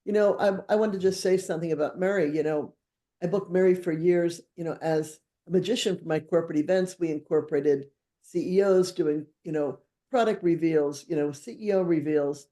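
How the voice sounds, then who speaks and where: Mellow higher-voiced man speaking earnestly. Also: a little fast. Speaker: co-host, event booker. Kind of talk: casual conversation.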